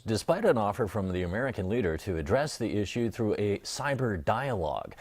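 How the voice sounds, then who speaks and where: A man's voice: low-pitched, a little mellow. A man delivering scripted news narration as a news reader.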